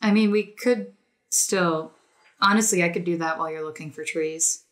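A woman's voice: fairly low pitch, moderate pace. A woman talking casually as a player in a tabletop roleplaying game. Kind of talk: casual chat.

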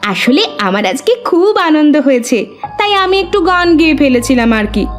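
Woman as cartoon village wife, ghost-possessed, coy, evasive, highish voice, faint music. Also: sing-song, moderate pace. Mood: coy, excusing.

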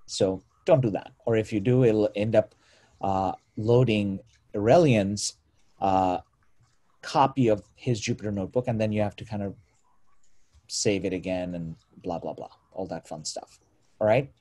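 A man's voice: on the low side, moderate pace, dark tone. A man presenting a live screen-share coding tutorial on a video call, informal and self-correcting as he talks. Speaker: meetup presenter.

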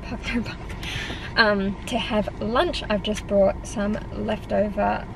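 A woman's voice: mid-range voice, steady tone, slow pace, creaky, background noise. A young Australian woman in her twenties, a personal trainer chatting casually to a camera on the dashboard of her parked car, rambling and amused at herself. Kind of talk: casual vlog chat to camera.

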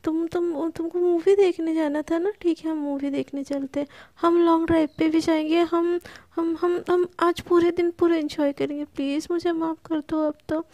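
Woman acting a flustered, hot-tempered young woman, high-voiced. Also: fairly even intonation, normal speed, warm tone, no echo. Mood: soft remorse. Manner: stammering, repeats words.

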